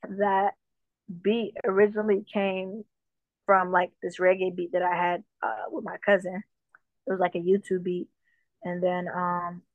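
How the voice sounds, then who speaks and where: A woman from Jamaica, a musician guest, talking casually in an interview with a relaxed manner and lots of fillers. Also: fairly low pitch, no room sound. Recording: dull, dry lo-fi sound.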